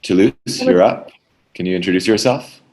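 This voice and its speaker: A man's voice: low-pitched, moderate pace, darkish tone. A man hosting a casual group video call, informal and appreciative in how he talks. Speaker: host moderating a talkback.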